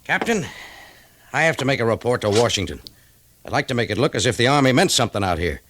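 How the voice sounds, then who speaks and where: A man's voice: medium pitch, expressive, fast, a bit bright, a little hiss or hum, natural voice. A man playing an exasperated, formal army major in an old-time radio western. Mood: demanding.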